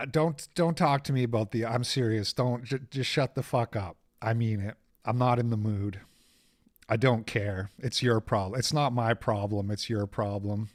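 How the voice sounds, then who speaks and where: Slow-talking raspy male streamer. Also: medium pitch, fairly even intonation. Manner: rambling, sarcastic, easily irritated. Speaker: host. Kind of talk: casual livestream chat.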